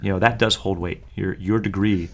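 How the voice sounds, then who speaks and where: Deep-voiced man, earnest podcast co-host. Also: fairly even intonation, normal speed. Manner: talkative, earnest, many fillers. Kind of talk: casual conversational podcast.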